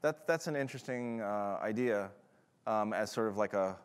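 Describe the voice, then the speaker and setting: A man's voice: on the low side, moderate pace, clear, resonant tone. A man answering audience questions at a tech conference, in a loose, casual manner with a lot of fillers and restarts. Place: large conference hall. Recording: long hall reverb.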